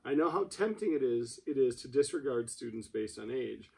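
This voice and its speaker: Officious, dismissive male administrator, read by a man, gravelly and husky, amateur drama reading. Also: medium pitch, animated, moderate pace. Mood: formal.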